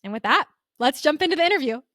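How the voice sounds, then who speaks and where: Woman, higher-pitched voice, informal podcast co-host, conversational, close dry sound. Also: expressive, quick pace, bright, forceful tone, no room sound.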